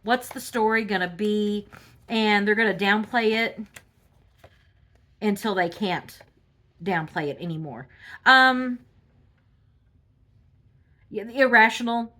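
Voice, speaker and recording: A woman reading tarot cards in a casual, halting monologue full of fillers, sounding congested from a cold. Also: medium pitch, pushed and bright. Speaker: tarot reader.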